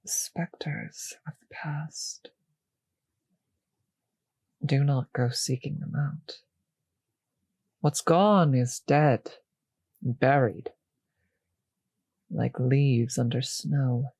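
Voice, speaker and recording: A woman acts out a refined female vampire for an intimate audio drama, possessive and predatory. Her voice is husky and close, recorded very dry, with an unhurried pace. She teases with condescending endearments and slips into whispers. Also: very deep voice, warm tone, no echo, full character voice. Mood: hungry, seductive, then stern, possessive. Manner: slow, condescending, teasing endearments, drifting into whispers.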